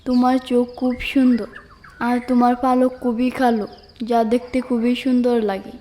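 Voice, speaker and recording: A sly cartoon fox voiced by a woman flatters in a measured way. The voice is fairly high, with a mellow tone and very little movement in pitch. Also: natural voice. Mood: flattering. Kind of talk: children's fable cartoon dialogue.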